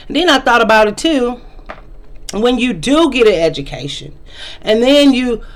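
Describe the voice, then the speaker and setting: A woman delivers a blunt, opinionated monologue straight at her viewers. She repeats her points for emphasis and lectures in a preachy, unfiltered way. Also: on the high side. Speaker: vlog host.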